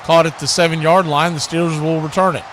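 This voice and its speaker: An American man calling live football play-by-play in an excitable, colloquial radio style, repeating phrases as the action builds. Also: fairly high pitch, quick pace. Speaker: play-by-play sports announcer. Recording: faint background noise.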